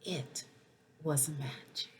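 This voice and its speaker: A woman reading a children's story aloud in an expressive, animated way, with asides to her young listeners. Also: sits low, slow, slight background noise. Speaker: school principal reading a picture book aloud.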